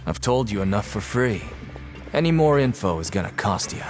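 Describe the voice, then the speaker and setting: A man's voice: fairly low pitch, sing-song, normal speed. A man playing a world-weary, mercenary prison inmate who works as a guide in a video game, talking in an offhand, folksy way. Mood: brusque. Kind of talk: English video game voice acting. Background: faint game music.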